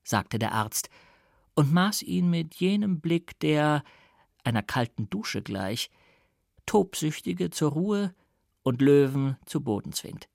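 Creaky-voiced man reading a literary story. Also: on the high side, very animated, slow, darkish tone, dry recording, studio quality. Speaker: audiobook reader. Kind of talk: dramatic literary narration.

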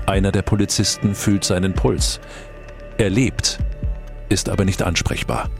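Male narrator, low register, dramatic scripted crime storytelling over a prominent music bed.

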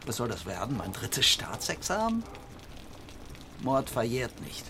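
A man's voice: mid-range voice, huge pitch swings, unhurried. A man playing a dry, hesitant, mildly protective middle-aged writer in a radio drama. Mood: dry, gentle. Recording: clear rainy outdoor noise behind.

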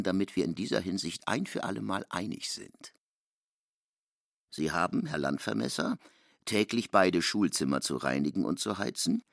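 A man's voice: low voice, creaky, no room sound, studio quality. A man giving formal, emphatic scripted narration of a literary classic, including reported speech.

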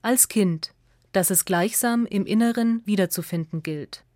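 Female narrator for radio essay, mid-range pitch, measured and articulate, dry recording. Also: mellow, no echo.

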